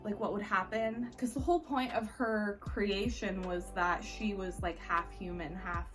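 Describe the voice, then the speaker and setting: A woman's voice: mid-range voice, fairly even intonation, measured pace, music in the background. A woman talking casually and conversationally as a book vlogger, giving informal reviews with wry asides.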